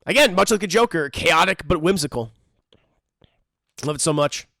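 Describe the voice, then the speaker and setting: A man hosts a casual, joking commentary on a cartoon episode, his fairly high voice leaping all over the place with a gravelly rasp. His tone is bright and tense, and the close mic keeps the room dry. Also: normal speed, dry recording. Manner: joking, animated, mock-voices characters. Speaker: commentary host.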